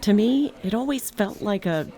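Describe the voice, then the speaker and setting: A woman's voice: medium pitch, lively intonation, moderate pace, dark tone, lightly acted. A woman playing an uneasy, thoughtful mission control officer in an audio drama, with a hesitant manner. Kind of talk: naturalistic audio drama acting.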